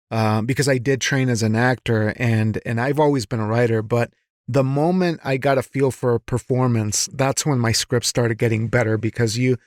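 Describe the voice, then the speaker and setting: A man's voice: medium pitch, no room sound, studio quality. A man hosting a casual podcast interview, encouraging and affirming as he responds to his guest. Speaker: podcast host.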